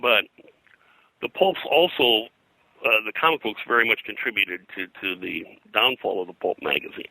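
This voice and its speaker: Male interview guest, historian type: low, gravelly, halting and detail-heavy, informative. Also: animated, pushed and bright, no room sound, low-quality recording. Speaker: interviewed publisher and pop-culture historian. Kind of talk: conversational interview, explaining history.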